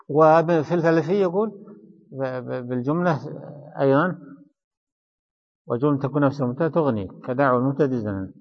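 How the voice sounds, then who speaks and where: A man explains grammar line by line in a traditional lesson, repeating phrases and questioning his student. His voice sits a little high. The recording is muffled and lo-fi, with a long hall echo. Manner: explains line by line, repeats key phrases, quizzes and corrects the reader. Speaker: teacher of Arabic grammar. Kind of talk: traditional study-circle lesson explaining a text. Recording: reverberant hall, dull lo-fi sound.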